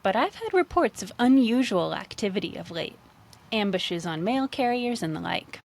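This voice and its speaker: A woman reading the part of a brisk half-orc policewoman in a fantasy storybook, firm and chiding but friendly. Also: medium pitch, big pitch swings, vocal fry. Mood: grave.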